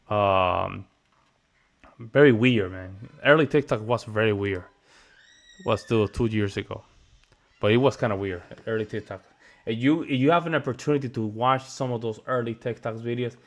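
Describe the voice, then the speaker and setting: American man, casual reaction host, chatty, says man and guys a lot, middle pitch. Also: fairly bright tone. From United States.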